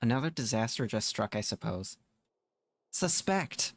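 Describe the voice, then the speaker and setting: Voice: mid-range voice, lively intonation, a little slow. A game player reading dialogue aloud and reacting during a playthrough.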